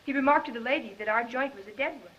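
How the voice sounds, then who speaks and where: A woman's voice: on the high side, quick pace, a bit bright, a little hiss or hum, lightly acted. An actress playing a young woman in a 1930s crime film. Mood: matter-of-fact. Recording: muffled 1930s film soundtrack.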